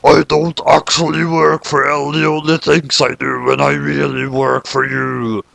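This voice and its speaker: A man's voice: on the high side, level tone, measured pace, vocal fry, fairly bright tone, no room sound, full character voice. A man voicing a sly male death spirit who schemes and talks down to others. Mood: conspiratorial.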